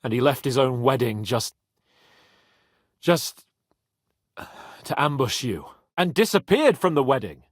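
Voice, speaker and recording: An actor playing a proud, hot-headed young nobleman in a video game, with a theatrical, sharp-tongued manner. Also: mid-range voice, very animated, unhurried, long pauses, no echo, studio quality. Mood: earnest, explaining. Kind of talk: dramatic video game voice acting.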